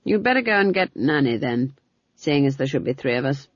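Lady doing a stern old witch, brusque and dismissive, husky, storybook voice, slightly muffled. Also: very deep voice, animated, a little fast, dry recording. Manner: brusque, blunt, folksy. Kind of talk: audiobook character voice.

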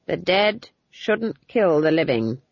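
Storybook old witch woman, played by a narrator: dry, commanding, unhurried. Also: mid-range voice, sing-song, no echo, highs cut off, exaggerated voice. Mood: dry, brisk. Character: brisk, blunt, stern old witch woman.

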